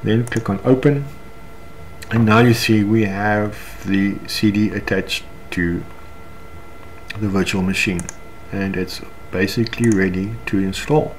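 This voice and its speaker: Low-pitched South African man, noisy recording. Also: fairly even intonation. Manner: halting, step-by-step explaining. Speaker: software tutorial instructor. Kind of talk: unscripted screencast walkthrough. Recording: clear background noise, room ambience.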